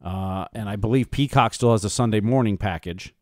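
A man's voice: on the low side, brisk, darkish tone, no echo, studio quality. A man co-hosting a sports podcast, casually chatting and handling listener questions.

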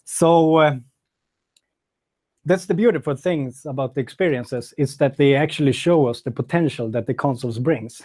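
A man presenting at a tech meetup, moving through his slides one by one. His delivery is relaxed with a light joking touch, at a middle pitch. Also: moderate pace. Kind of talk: conference talk with slides.

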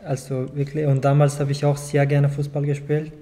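A man in his twenties from Afghanistan, a native Dari speaker, reading his own text aloud in a calm, measured way at a panel table in a small club room. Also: on the high side. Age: 20s. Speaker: guest author. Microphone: table mic on a short stand.

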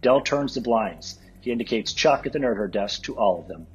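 A man narrating stage directions from a script at a table read, with a very husky voice. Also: medium pitch, expressive, fairly quick. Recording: dull lo-fi audio, music behind.

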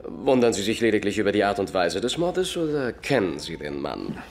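A shrewd Victorian sleuth, composed and precise. His formal, probing speech swings widely in pitch. A faint ambience lies behind the radio play. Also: sing-song, a little fast, slight background noise. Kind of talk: audio drama acting.

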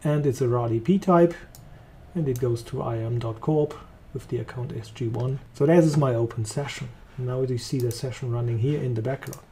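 A man with a German accent walking through a software demo, talking casually as he clicks along. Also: mid-range voice, moderate pace, slight background noise. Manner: relaxed, thinking aloud while clicking. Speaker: software trainer. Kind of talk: screen-recorded software demo.

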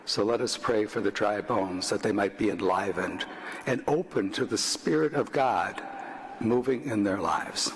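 Older priest giving a plain-spoken homily. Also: male voice, fairly low pitch, measured pace. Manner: conversational, plain-spoken, with frequent restarts. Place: church sanctuary, at a lectern. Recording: reverberant church.

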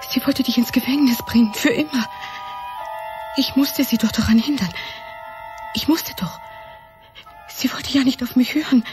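An actress playing an anguished woman in a radio crime drama, confessing and pleading in a halting, emotional way. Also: sits high. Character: anguished woman lover confessing under police questioning.

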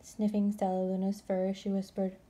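A woman reading a children's picture book aloud as an expressive storyteller. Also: medium pitch, moderate pace. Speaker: storybook reader.

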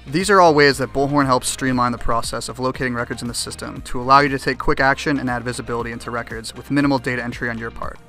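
Young adult man, quick pace, sharp bright tone, scripted product narration, light music behind. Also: mid-range voice, steady tone. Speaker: software product presenter.

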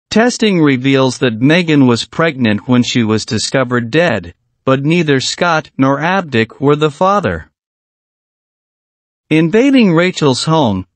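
A man's voice: on the high side, dry recording. Man narrating a film recap, dry close sound. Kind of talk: scripted plot-summary narration.

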